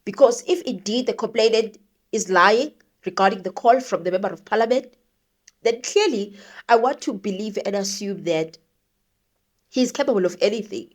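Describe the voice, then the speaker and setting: A woman's voice: mid-range voice, expressive, normal speed. A South African woman giving opinionated, conversational news commentary, thinking aloud and posing rhetorical questions. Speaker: commentator, show host.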